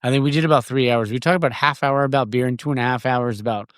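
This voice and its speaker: A man hosting a podcast in casual conversation, loose, profane and quick to laugh. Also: mid-range voice, fairly even intonation, fairly bright tone, clear, resonant tone, dry recording, clean studio sound. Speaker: podcast host.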